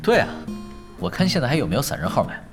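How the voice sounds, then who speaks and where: Nonchalant, money-minded young pro gamer, hugely swooping delivery over music. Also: male voice, mid-range voice, huge pitch swings, fast. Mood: playful scheming. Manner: nonchalant, teasing. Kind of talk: Chinese audio drama acting. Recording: music behind the voice.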